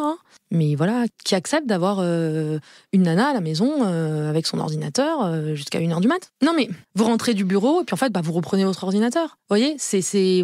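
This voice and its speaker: A woman's voice: medium pitch, darkish tone, no room sound, clean studio sound. A woman, a lawyer answering podcast interview questions in an earnest, frank way, often hesitating and repeating herself. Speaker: lawyer and law firm partner.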